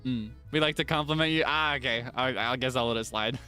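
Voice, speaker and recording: A man's voice: a little high, normal speed, sharp tone, clear, resonant tone, soft background music. A young man chatting casually on a stream, answering viewer comments with plenty of laughter and self-deprecating remarks. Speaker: virtual streamer.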